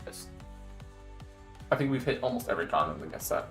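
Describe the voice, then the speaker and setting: A man's voice: medium pitch, a little fast, creaky, faint music behind. A man hosting a stream from his home setup, chatting casually and reading card rules aloud. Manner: animated, reads rules text aloud in bursts. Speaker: streamer host.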